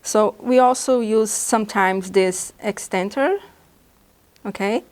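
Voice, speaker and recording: A woman from Brazil whose first language is Portuguese, speaking with a Brazilian Portuguese accent as she patiently demonstrates drawing supplies in a beginner art lesson, pausing often as she explains. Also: mid-range voice, a little mellow, smooth. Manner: patient, halting explanations with pauses. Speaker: art instructor.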